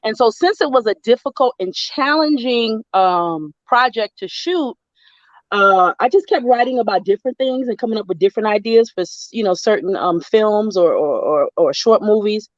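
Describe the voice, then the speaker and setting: A woman's voice: mid-range voice, animated, moderate pace, no room sound, dull audio. A middle-aged woman from Florida, a writer guest in a casual online interview, talking in loose rambling runs with fillers and restarts. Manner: chatty, many restarts and fillers, laughs often. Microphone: video call.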